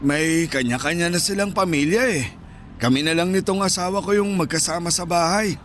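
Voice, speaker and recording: An actor playing a shy, plaintive Filipino street vendor of sixty-five, speaking deferentially in a radio drama. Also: male voice, a little high, fairly quick, fairly bright tone, slight background noise, close to an everyday voice. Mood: resigned. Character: mild, shy 65-year-old Filipino vendor husband.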